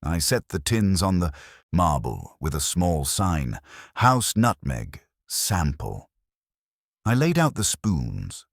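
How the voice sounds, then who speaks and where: A man narrating a scripted first-person detective story in a dry, wry, measured way. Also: low-pitched, huge pitch swings, vocal fry, no room sound. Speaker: audiobook narrator.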